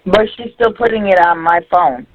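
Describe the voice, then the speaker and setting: A woman's voice: on the low side, animated, moderate pace. A dull, lo-fi phone recording carries a woman's voice. She talks casually and lays things out step by step, as if giving instructions. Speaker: caller on a jail phone call. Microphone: telephone.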